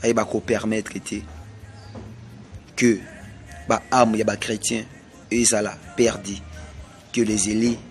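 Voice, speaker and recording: A man from Congo with a Congolese French accent, delivering a slow, repetitive prophetic sermon. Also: lowish voice, level tone, soft background music. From Congo (DRC). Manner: slow, repetitive, emphatic pronouncements. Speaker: self-styled prophet.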